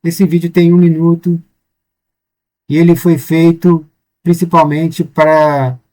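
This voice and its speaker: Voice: mid-range voice, little pitch movement, takes it slowly, warm tone. Voz brasileira explicando, tom didático. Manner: informal, with frequent restarts and "tá?", "ok?" checks. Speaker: teacher presenting a course. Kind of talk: online class, explaining to teachers and mothers.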